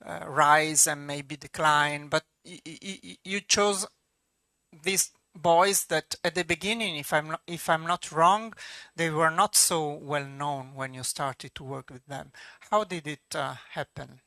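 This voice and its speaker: A native Italian speaker with an Italian accent hosting an interview in English, halting and searching for words. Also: medium pitch, bright, forceful tone, no echo, clean studio sound. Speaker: cinema host interviewer. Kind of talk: interview questions.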